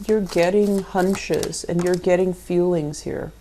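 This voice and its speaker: A woman's voice: lowish voice, steady tone, takes it slowly, warm tone, slight background noise, low-quality recording. A woman giving a conversational tarot card reading, explaining things and speaking directly to the listener. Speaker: tarot reader and channel host.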